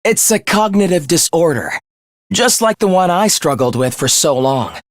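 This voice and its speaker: Smug, unhinged young villain, wildly swinging pitch. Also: male voice, expressive, normal speed, no room sound, clean studio sound. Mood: smug, sinister calm. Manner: taunting, theatrical, mock-cheerful. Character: sadistic young male villain, smug, unhinged, obsessive, mockingly polite. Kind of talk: theatrical video game voice acting.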